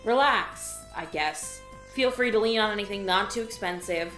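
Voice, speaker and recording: A woman voicing a weary, sarcastic alien office worker in an audio drama, with a dry, deadpan delivery. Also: medium pitch, normal speed, natural voice. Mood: sardonic. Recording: roomy echo, faint music.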